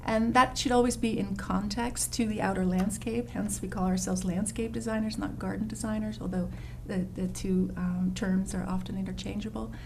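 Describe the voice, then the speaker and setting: A woman from the Netherlands speaks as a guest in a relaxed interview. She talks with eagerness and many small fillers, at a middle pitch. Faint background noise sits under her voice. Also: normal speed, vocal fry, hardly any pauses. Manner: warm, enthusiastic, many fillers and restarts. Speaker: landscape designer guest. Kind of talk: casual interview chat.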